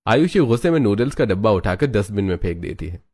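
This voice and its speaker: A man narrating a cartoon story in a steady storytelling manner. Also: fairly low pitch, fast, no room sound. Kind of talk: scripted narration.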